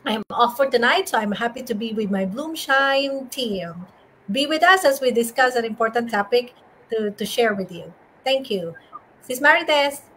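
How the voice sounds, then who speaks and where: Filipino woman, slightly high pitch, live team introduction over a faintly noisy online call. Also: lively intonation, normal speed, a bit bright. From Philippines, Iloilo. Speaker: team member introducing herself.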